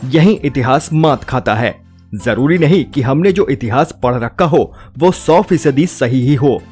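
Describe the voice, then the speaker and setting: A man delivers a scripted review in a mid-pitched, slightly rough voice. He leans on rhetorical phrasing, stressing his points as a narrator would. Soft music plays behind him. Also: lively intonation, a little slow. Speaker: film reviewer. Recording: music bed under the voice. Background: background music.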